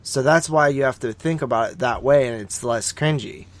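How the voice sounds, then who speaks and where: A man's voice: medium pitch, normal speed, no room sound. A man co-hosting a film review podcast, debating casually in an insistent, teasing, emphatic way. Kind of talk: casual argumentative chat.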